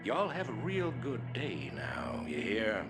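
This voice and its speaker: Deep, scornful Southern commander. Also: male voice, huge pitch swings, slow, music in the background, exaggerated voice. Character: contemptuous, arrogant Southern military commander. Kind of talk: video game briefing acting.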